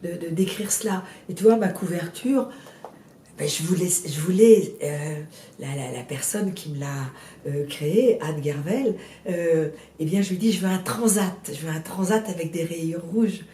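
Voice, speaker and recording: Soft-voiced woman in her sixties with a cold. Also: lowish voice, normal speed, echoey room, recorded from a distance. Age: 60s. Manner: hesitant, many fillers and restarts, animated bursts. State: has a cold. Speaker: guest, novelist. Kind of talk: casual interview chat.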